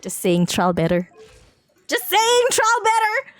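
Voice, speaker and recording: Woman, high lively voice, game livestreamer, excitable, repetitive casual chatter, music underneath. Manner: excitable, giggly, repeats phrases rapidly. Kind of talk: casual live gaming commentary.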